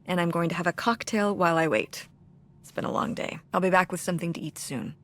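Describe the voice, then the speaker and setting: A woman's voice: on the low side, quick pace, a bit bright, lightly acted. An actress voicing a tired, wry middle-aged mother in a video game, talking in a dry, reasoning way.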